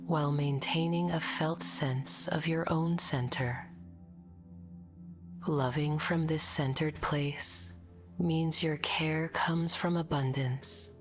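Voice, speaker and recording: A woman with a low, soft voice that often slips toward a whisper leads a guided meditation. She speaks very slowly and gently, in a calm, soothing, scripted delivery. Music plays behind her.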